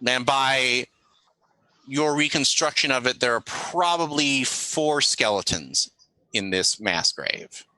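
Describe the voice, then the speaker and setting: A man running a tabletop role-playing game, improvising narration and haltingly thinking aloud as he goes. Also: mid-range voice, expressive, bright, forceful tone, no echo, rough recording. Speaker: game master.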